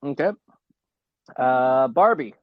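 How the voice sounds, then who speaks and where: A man's voice: medium pitch, expressive, slow pace, no room sound. Male podcast interviewer, muffled lo-fi sound. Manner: brief prompts and short reactions. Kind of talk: casual word-association interview.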